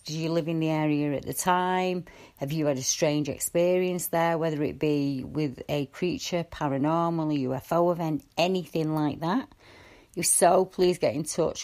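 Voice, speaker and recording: Woman host, deep voice, earnest, scripted narration. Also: a little mellow. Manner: earnest, appealing. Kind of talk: scripted narration and listener appeal.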